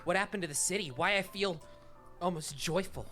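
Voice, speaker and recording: A man playing a confused, beseeching hero in a comedic fan dub, speaking earnestly and haltingly. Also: sits high, pushed and bright, soft background music. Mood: troubled, halting. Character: pleading, confused male hero, hedgehog turned human.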